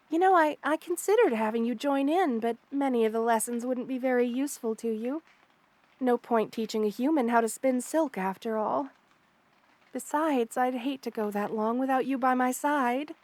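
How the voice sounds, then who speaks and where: A woman voicing a kind, motherly spider queen in an ASMR roleplay, soft-spoken, doting and reassuring as she speaks to the listener. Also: sits high, moderate pace, dry recording, natural voice. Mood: gentle, pleased. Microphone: close studio mic, dry.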